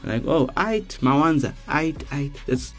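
A young South African man co-hosting a faith radio show, chatting casually in a halting way with lots of fillers and restarts. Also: a little high, lively intonation, faint music behind. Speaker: radio co-host.

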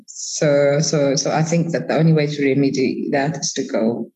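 A woman's voice: very deep voice, moderate pace, dark tone. A woman chatting informally and encouragingly as a podcast guest, on slightly lo-fi audio. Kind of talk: casual conversational interview.

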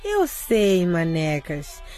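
A woman's voice: fairly low pitch, huge pitch swings, very slow, dark tone, soft background music, full character voice. A woman playing a sweet, obliging young schoolgirl in a radio drama. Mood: sweet, tired.

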